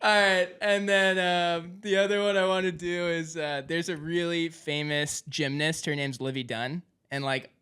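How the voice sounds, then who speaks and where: A man's voice: a little high, flat delivery, measured pace, few pauses, sharp tone, clear, resonant tone. A young man hosting an interview, chatting casually and explaining things as he goes. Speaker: interviewer, show host. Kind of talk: casual chat, explaining slang.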